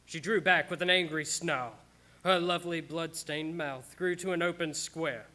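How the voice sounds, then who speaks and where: A haunted, earnest doctor played by a man narrates his grim diary in a radio play. The voice is forceful and bright, a little high for a man. The delivery is formal, measured and theatrical. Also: steady tone. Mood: tense dread.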